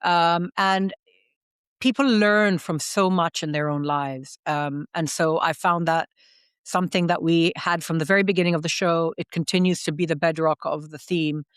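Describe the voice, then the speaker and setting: Woman podcast host, thoughtful and earnest, lots of ums, smooth clear voice, dry close sound. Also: on the low side, steady tone, normal speed, dry recording. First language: English. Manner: thoughtful, earnest, with frequent fillers and restarts. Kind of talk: reflective podcast conversation.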